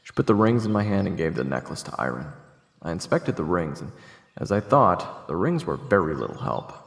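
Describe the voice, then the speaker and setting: A man playing a calm, courteous investigator in an audio drama, measured and low-key, with a creaky voice. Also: full character voice. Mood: reflective. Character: calm, courteous male investigator telling his own tale. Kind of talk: audio drama dialogue and first-person narration. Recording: echoey, long reverb, music behind.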